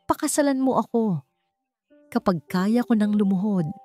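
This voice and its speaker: A woman's voice: mid-range voice, expressive, moderate pace, a little mellow, pitched above the performer's own voice, close to an everyday voice. A woman narrator voicing a devoted husband in love, speaking earnestly and tenderly in a romance story. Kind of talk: dramatic romance storytelling dialogue. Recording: music under the voice.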